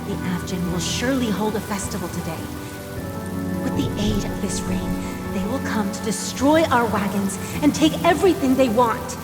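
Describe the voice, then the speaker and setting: A woman's voice: mid-range voice, moderate pace, close to an everyday voice. A woman voicing a protective, devout older sister in a video game, speaking in solemn, ceremonial phrases. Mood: grave, resolute. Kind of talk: dramatic video game acting. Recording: echoing reverb, loud music, rain behind.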